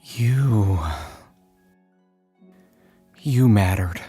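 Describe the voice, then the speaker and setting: A man voicing a weary, gentle mortician in an audio story, steady and subdued, with quiet grief. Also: lowish voice, drawn-out words, husky, soft background music, exaggerated voice. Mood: tender, mournful. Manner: steady, subdued, hesitant delivery. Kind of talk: dramatic audiobook character voice.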